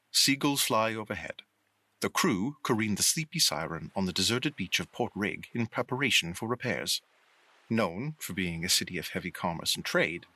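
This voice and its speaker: A man's voice: deep voice, bright, forceful tone, slightly rough, full character voice. A man narrating a pirate adventure audio drama as a storyteller, in a scripted dramatic style.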